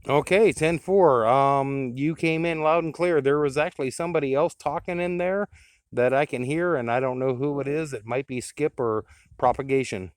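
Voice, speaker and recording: A man's voice: mid-range voice, moderate pace, slight background noise. A man reviewing and testing a radio in a plain-spoken, explanatory way, as a hands-on demonstration.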